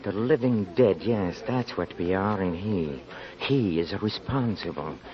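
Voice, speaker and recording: An actor playing a nervous, scornful murderer on the run, stammering and insinuating in 1940s radio drama style. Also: male voice, low voice, lively intonation, measured pace. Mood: bitter. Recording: dull lo-fi 1940s radio recording.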